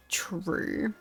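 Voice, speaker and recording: A woman streaming a video game, chatting casually with her viewers as she plays. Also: on the high side. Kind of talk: casual live stream commentary.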